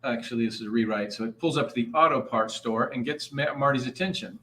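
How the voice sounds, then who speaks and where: A man's voice: medium pitch, fast, pushed and bright. A man reading stage directions aloud informally at a live table read. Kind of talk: informal live script narration.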